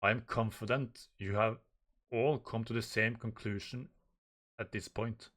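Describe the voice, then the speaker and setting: A man's voice: on the low side, fairly even intonation, measured pace, a bit bright. Man reading as a grave, earnest broadcasting scientist. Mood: grave, confident. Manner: formal, earnest, declamatory.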